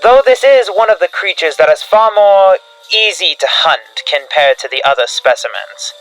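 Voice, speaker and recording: Man, bright tense voice, a little high-pitched, scripted horror narration, dramatic instructional tone, soft music behind. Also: lively intonation, normal speed, rough recording. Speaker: narrator of a fictional creature field briefing. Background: background music.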